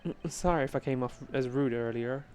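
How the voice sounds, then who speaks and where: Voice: mid-range voice, brisk, darkish tone, slight background noise, lightly acted. Player doing a awkward young baker guy in a game dub, sheepish, kinda apologetic. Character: sheepish, well-meaning young man baker.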